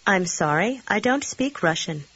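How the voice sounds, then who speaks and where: A woman voices model dialogue for a language course, measured and careful. Her voice is slightly husky and breathy. The recording has a dull, lo-fi tone. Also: mid-range voice, lively intonation, fairly bright tone. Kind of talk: scripted dialogue lines for repetition.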